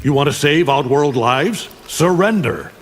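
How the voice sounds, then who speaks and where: An actor playing an arrogant, brutal middle-aged alien conqueror in a video game, cold, contemptuous and menacing. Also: male voice, fairly high pitch, animated, unhurried, long reverb tail, slight background noise. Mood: threatening. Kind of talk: video game fight banter.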